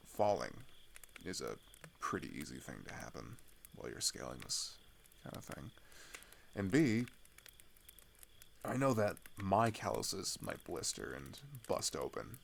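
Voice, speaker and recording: A man playing an open-hearted, weary teenage climber on the run in an ASMR roleplay, speaking in a halting, confiding way with a low, gravelly voice. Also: sing-song, slight background noise, exaggerated voice. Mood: earnest, cautioning. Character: open-hearted teenage male climber fleeing across a border, weary but caring. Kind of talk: ASMR roleplay audio drama.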